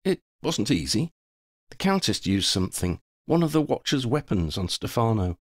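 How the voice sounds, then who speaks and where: A man's voice: medium pitch, big pitch swings, moderate pace, no echo, studio quality, pitched above the performer's own voice. A man voicing a brash, tough, playful young woman werewolf hunter in a flippant, cocky way for an audiobook. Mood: flippant, boastful. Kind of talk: audiobook character voice.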